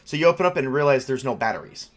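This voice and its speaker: A man's voice: medium pitch, lively intonation, racing. A man running a tabletop roleplaying game, narrating and joking casually with the other player.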